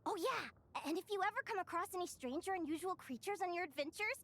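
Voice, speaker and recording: A woman's voice: extremely high pitch, animated, quick pace, fairly bright tone, exaggerated voice. A woman voicing a friendly, earnest young female guild advisor in an anime-style video game, chatty and sincere. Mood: cheerful, perky. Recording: game music behind the voice.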